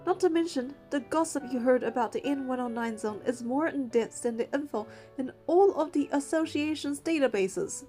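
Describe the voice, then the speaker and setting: A woman's voice: high voice, normal speed, few pauses, warm tone, faint music behind, close to an everyday voice. An actress playing an earnest, determined young woman hunter in a scripted game, voicing her dialogue and first-person narration.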